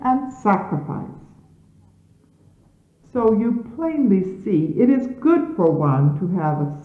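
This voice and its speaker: A woman reading scripture as a solemn sermon in measured, emphatic, formal diction, on an archival recording from the 1970s. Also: mid-range voice. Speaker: religious leader reading scripture aloud. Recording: phone-like, echoey 1970s archival tape.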